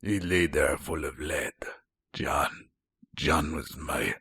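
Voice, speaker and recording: A man's voice: a bass voice, fairly even intonation, slow, gravelly, sharp tone, slight background noise, heavily acted. A man acting in a radio drama as a bitter, betrayed soldier with revolutionary ideals, intense and dramatic. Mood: grieving, subdued. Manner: intense, dramatic, swinging from murmur to outburst.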